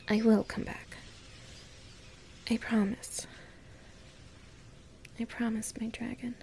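A woman playing a playful, tender young human in love with a dragon, musing aloud softly in an intimate audio roleplay. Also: on the high side, a little hiss or hum. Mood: tender, wistful.

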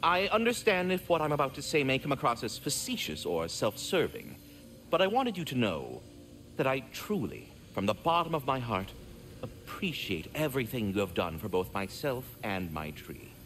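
A man's voice: mid-range voice, wildly swooping pitch, moderate pace, a bit bright, slight background noise. A man voicing a polite magical squirrel in a video game, with formal, florid, theatrical diction. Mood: grateful.

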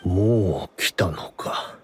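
An actor playing a weary, dying man in a game, spent and resigned, with a husky, breathy voice. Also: low voice, drawn-out words, soft background music. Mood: weak, resigned. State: weak, spent. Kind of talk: Japanese game voice acting.

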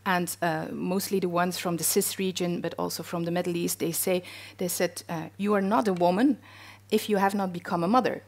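A woman's voice: fairly low pitch, fairly even intonation, brisk. Middle-aged woman speaking hesitantly on a panel. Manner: hesitant, many fillers. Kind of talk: panel discussion. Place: stage in a wood-panelled hall with audience.

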